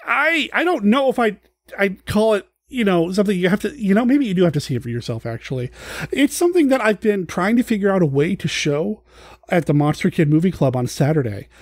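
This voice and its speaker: A male podcast host with a fairly high voice chats casually and off the cuff. His pitch swings in a very lively way as he rambles and rephrases his thoughts. The sound is dry and close. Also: fairly quick, no room sound. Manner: rambling, thinking aloud, self-correcting. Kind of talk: casual unscripted chat.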